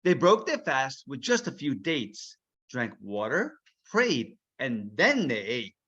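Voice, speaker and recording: A man reading a children's storybook aloud as its author, expressive and checking in with his listeners. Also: mid-range voice, takes it slowly, breathy edge, lo-fi sound.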